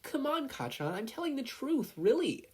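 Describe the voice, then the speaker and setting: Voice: sits high, very animated, moderate pace, a little mellow, breathy edge, pitched above the performer's own voice, exaggerated voice. A story narrator voicing an earnest, stubborn preschool boy who sounds insistent. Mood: pleading, indignant.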